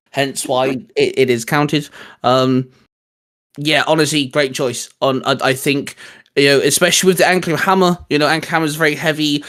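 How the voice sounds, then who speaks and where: Higher-pitched man chatting casually. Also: a bit bright. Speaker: co-host of a fan discussion show. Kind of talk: casual chat about geeky topics.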